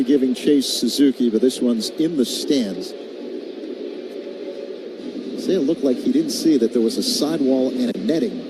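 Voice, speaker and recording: A man doing live radio play-by-play of a baseball game in clipped, descriptive phrases. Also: mid-range voice. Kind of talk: live radio sports commentary. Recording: loud ambient ballpark noise behind.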